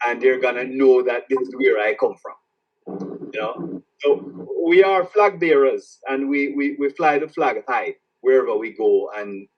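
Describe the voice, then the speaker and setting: A man from Jamaica with a Jamaican accent, an author answering interview questions in a reflective, halting way that repeats words as he starts each thought. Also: mid-range voice, animated, far from the mic, lo-fi sound. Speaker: author guest.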